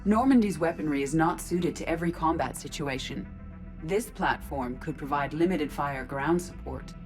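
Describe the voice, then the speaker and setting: A woman plays an artificial intelligence speaking through a female robot body in a video game. The voice is low and controlled, with precise, formal, emotionless phrasing. Faint music plays behind the words. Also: moderate pace, close to an everyday voice. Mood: calm, analytical.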